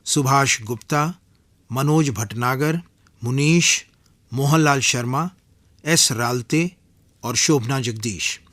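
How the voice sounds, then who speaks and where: A man making a formal, deliberate scripted radio announcement. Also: on the high side, fairly bright tone, dry recording, clean studio sound. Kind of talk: scripted programme credits announcement.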